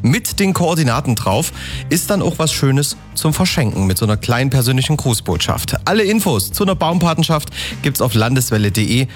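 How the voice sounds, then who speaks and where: A man's voice: mid-range voice, sing-song, fairly quick, soft background music. A man presenting on the radio in an upbeat, chatty way. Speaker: radio host.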